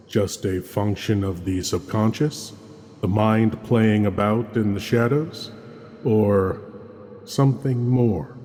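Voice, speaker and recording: Slow, sinister horror host, acted by a man. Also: fairly low pitch, warm tone. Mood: brooding, ominous. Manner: slow, ominous, melodramatic delivery. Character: Lord Blood-Rah, sinister theatrical male horror host. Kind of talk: theatrical horror narration.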